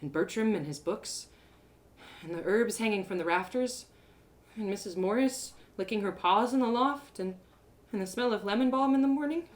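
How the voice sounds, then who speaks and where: A woman's voice: mid-range voice, normal speed. A woman storyteller narrating a first-person tale in an emotive, theatrical way. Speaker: storyteller, audio drama author-performer.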